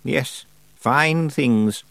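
A man's voice: medium pitch, vocal fry, fairly bright tone, no room sound, voice pushed up, exaggerated voice. Man voicing a stern ancient witch. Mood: teasing. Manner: slow, drawn-out, lofty delivery. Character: ancient stern female witch, sly and dry-humored.

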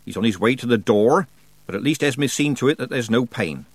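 Man voicing a brisk, cheeky old witch woman, pitched up. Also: animated, husky, dry recording. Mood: matter-of-fact. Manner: folksy, colloquial, teasing and scolding. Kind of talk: audiobook character dialogue.

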